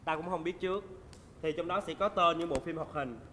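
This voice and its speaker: A man's voice: fairly high pitch, a little fast, sharp tone, in a large hall, a little hiss or hum. A young man with a Southern Vietnamese accent hosting a casual, playful comedy talk show. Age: young adult. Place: indoor studio set dressed as an old drinks shop.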